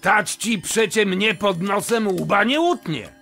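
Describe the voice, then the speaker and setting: An actor playing a blustering, hot-tempered old nobleman in a theatrical verse comedy, muttering and then exclaiming loudly, with a gravelly voice. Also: high-pitched, takes it slowly, soft background music, heavily acted. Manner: exclamatory, swinging from muttering to shouting.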